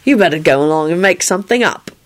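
A woman's voice: lowish voice, expressive, quick pace, close to an everyday voice. A woman voicing a cheeky, demanding, drunken young male wizard in a narrated story, often hollering. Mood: cheeky, tipsy.

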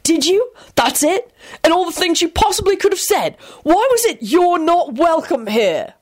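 A woman's voice: mid-range voice, big pitch swings, a little fast. A woman voicing a scornful, foul-mouthed young male prankster wizard in a story reading. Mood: furious disgust. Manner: scornful, mocking, taunting.

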